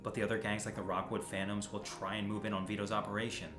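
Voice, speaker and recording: A man's voice: fairly low pitch, flat delivery, quick pace, natural voice. A man playing a determined, brooding masked vigilante in an audio drama, grim and resolute. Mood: wary, determined. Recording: roomy echo, music bed underneath.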